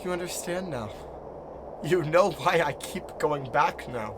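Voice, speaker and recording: A man playing a lonely, guilt-ridden, desperate figure in an audio drama, halting and repeating himself as he pleads. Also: a little high, expressive. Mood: pleading. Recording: clear background noise and ambience.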